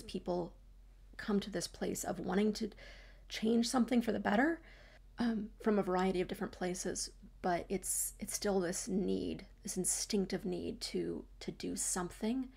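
A woman in her thirties speaks reflectively to her camera in a small room at home. Her mid-range voice carries a slight vocal fry, and she restarts her sentences often. She talks like a coach working through an idea. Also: mid-range voice. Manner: thoughtful, gestures a lot, frequent restarts and soft trailing asides.

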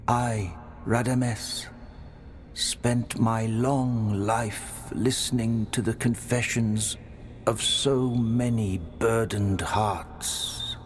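An actor playing a solemn, weary old undead preceptor in a video game, with a grave, ceremonious, lamenting manner. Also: male voice, on the low side, steady tone, drawn-out words, a little hiss or hum. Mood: mournful, solemn. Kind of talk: slow dramatic game monologue.

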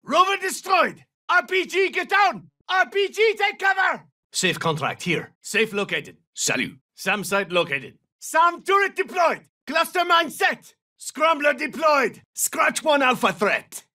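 An actor playing a battle-hardened special forces soldier in a video game, giving clipped, terse military callouts. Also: male voice, very high voice, big pitch swings, measured pace, sharp tone, far from the mic, full character voice. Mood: urgent, shouting. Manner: clipped, terse, urgent radio-style callouts.

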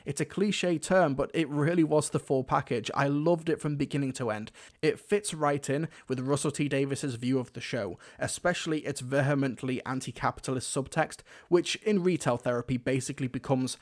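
A man's voice: medium pitch, moderate pace. A man hosting a scripted video-essay review in an enthusiastic, emphatic manner. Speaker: reviewer, host.

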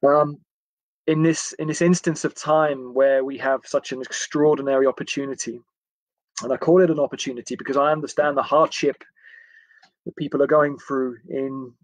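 A man in his forties with an English accent, talking informally on a livestream as a coach in a friendly, chatty, halting way. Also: medium pitch, fairly even intonation, no echo, poor audio. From England. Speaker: football coach giving a livestream talk. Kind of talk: informal live talk to camera. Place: indoors, small attic room.

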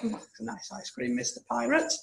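A woman voicing a gentle, easily flustered puppet in a children's show, with a drawn-out, hesitant way of talking. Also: medium pitch, expressive, vocal fry. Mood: calm, curious. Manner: slow, drawn-out, hesitant, exclaiming. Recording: roomy, noisy homemade recording.

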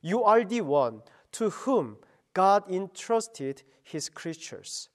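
A middle-aged man, a pastor giving an earnest, slow radio devotion sermon. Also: sits high, sing-song.

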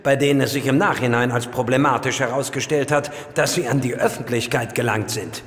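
An actor playing a formal, deliberate, secretive military colonel in an audio drama. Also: male voice, mid-range voice, steady tone, lightly acted. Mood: formal briefing. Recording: echoey room, long reverb.

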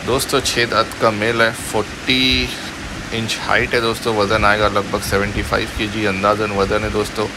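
A man's voice: lowish voice, level tone, moderate pace, background noise. A man with an Indian accent giving a casual promotional voice-over as a video host.

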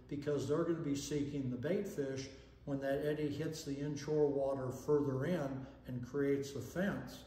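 A man's voice: mid-range voice, dark tone. An older man giving a fishing seminar to camera, explaining in a folksy, instructional way and checking in with "okay?". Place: indoor room with fireplace and banner. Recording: echoey room, long reverb.

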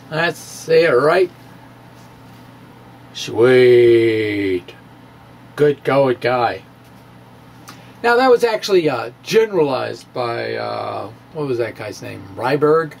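A man's voice: a little high, vocal fry. A middle-aged man giving a dramatic, emphatic science reading to camera, stretching words and dropping in asides. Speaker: science video blogger. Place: small room with bookshelves, indoors. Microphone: microphone on a stand, close in front.

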